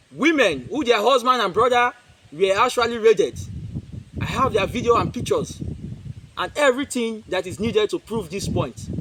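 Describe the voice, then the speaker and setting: A man's voice: high-pitched, a bit bright, slight background noise, poor audio. A man with a Nigerian accent giving an emphatic press statement, repeating himself and posing rhetorical questions. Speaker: community group spokesman.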